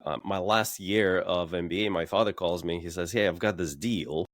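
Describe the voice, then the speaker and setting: Very deep-voiced man explaining plainly. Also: normal speed, no room sound, clean studio sound. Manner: plain, explanatory, many "uh" fillers. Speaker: guest, real estate developer. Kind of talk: casual interview talk.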